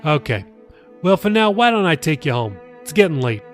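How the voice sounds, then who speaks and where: A man's voice: fairly high pitch, very animated, moderate pace, soft background music. A man reading an audiobook, voicing an earnest, persuasive pastor who talks casually and presses with questions. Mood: friendly. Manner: colloquial, insistent, questioning. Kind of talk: audiobook character dialogue.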